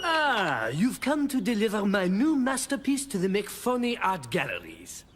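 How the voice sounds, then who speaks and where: A vain, theatrical painter in a cartoon, declaiming with a mid-pitched voice that rises and falls grandly, with music behind him. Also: male voice, wildly swooping pitch, unhurried, fairly bright tone, husky, long reverb tail, exaggerated voice. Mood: grandiose. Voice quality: theatrical, self-important. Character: vain dramatic male painter. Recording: cartoon soundtrack with background music.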